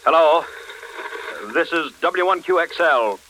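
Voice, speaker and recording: High-pitched, bright-voiced radio engineer. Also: male voice, measured pace. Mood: strained, fearful. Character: excitable engineer turning frightened and evasive over radio. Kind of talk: 1940s radio acting. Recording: noisy vintage radio drama recording.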